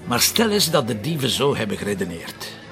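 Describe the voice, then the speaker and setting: A man's voice: mid-range voice, big pitch swings, a little fast, gravelly, over music. A man playing a stubborn, wry, distrustful police commissioner with a Flemish accent in a radio drama, speaking in a sardonic, contrary way. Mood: insistent. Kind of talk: naturalistic radio drama dialogue.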